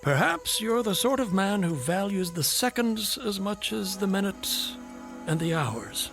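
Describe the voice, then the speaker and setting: Radio drama casting: enigmatic gentleman companion, highish animated voice, formal, polite, probing, light music underneath. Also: measured pace, vocal fry, full character voice. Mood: intrigued, amused. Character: courteous, inquisitive, enigmatic gentleman traveller companion.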